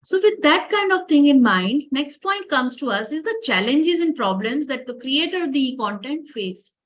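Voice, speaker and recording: Lady teaching over a video call, high voice, keeps repeating herself to the class. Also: sing-song, normal speed, a bit bright. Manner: earnest, repeats words, addresses participants directly. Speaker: workshop lecturer. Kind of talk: online lecture.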